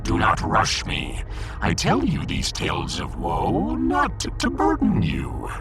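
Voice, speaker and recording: A man's voice: low-pitched, huge pitch swings, slow pace, loud music behind the voice, heavily acted. A man voicing a pompous, gloomy little alien fish emperor in a sci-fi audiodrama, theatrical, long-winded and imperious. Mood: aggrieved, melodramatic. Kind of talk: sci-fi audiodrama character acting.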